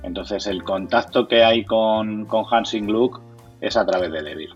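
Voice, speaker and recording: A man's voice: fairly low pitch, unhurried, muffled recording, soft background music. A man from Spain with a Castilian accent, answering interview questions conversationally, sometimes repeating words as he searches for phrasing. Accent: Castilian Spanish. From Madrid, Spain. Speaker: interviewee, board game community organizer.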